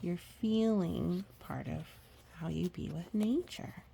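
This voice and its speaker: A woman with a husky, breathy voice reading a picture book aloud to young children, with chatty, gently explaining asides and murmured agreement. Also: lowish voice, slow pace, a little hiss or hum.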